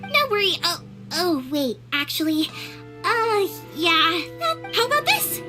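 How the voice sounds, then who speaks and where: A woman's voice: very high voice, big pitch swings, unhurried, heavily acted. A woman playing a cheerful, chatty, helpful young fireworks maker in a video game, with bubbly, emphatic delivery. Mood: eager. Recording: game audio with music behind. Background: game music.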